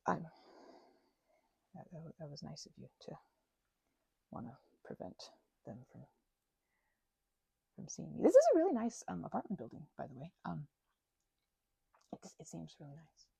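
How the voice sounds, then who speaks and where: A woman voicing a timid, grateful, apologetic vampire in an intimate audio roleplay, stammering nervously, rambling and often dropping toward a breathy whisper. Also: on the low side, wildly swooping pitch, a bit bright, dry recording, full character voice. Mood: nervous, earnest. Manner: nervous stammering, halting and self-interrupting.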